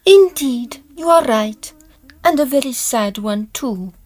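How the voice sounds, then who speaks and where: High-voiced, genteel, anxious lady played by an actress. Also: lively intonation, takes it slowly, darkish tone, full character voice. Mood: worried, wistful. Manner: refined, old-fashioned formal phrasing. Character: genteel, proper, anxious lady of an opera troupe. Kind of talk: period audio drama acting.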